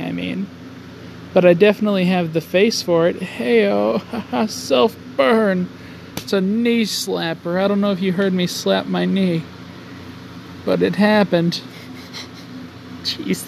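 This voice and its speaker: A young man talking slowly in a high, gravelly voice as he hosts his own podcast. He rambles, jokes at his own expense and swears freely. Faint highway traffic hums behind him in his garage. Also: darkish tone, poor audio. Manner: rambling, self-mocking, swears often. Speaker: podcast host. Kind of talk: casual solo podcast monologue. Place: home garage.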